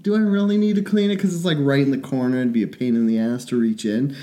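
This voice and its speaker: A man, a little sick and raspy, co-hosting a podcast and telling rambling, self-mocking stories. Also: medium pitch, fairly even intonation, fast talker, warm tone. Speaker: podcast co-host. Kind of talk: casual podcast chat.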